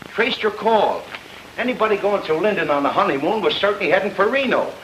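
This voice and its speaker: Pushy smooth-talking bureau chief, old radio mystery, bright voice, faint noise. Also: male voice, sits high, animated, a little fast, rough recording. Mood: persuasive. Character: wily, jovial, pushy missing-persons bureau boss. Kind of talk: old-time radio detective drama acting.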